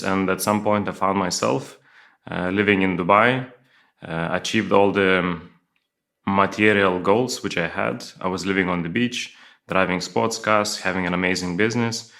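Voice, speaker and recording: A middle-aged man from Lithuania, telling his life story as an interview guest with many pauses, fillers and restarts. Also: low-pitched, a bit bright. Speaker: guest sharing testimony. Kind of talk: personal testimony in an interview.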